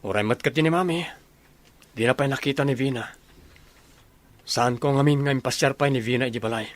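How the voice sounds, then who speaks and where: Mid-pitched young army officer played by a man. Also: a little fast, lightly acted. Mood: earnest. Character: dutiful young army officer, devoted son. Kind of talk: radio drama acting.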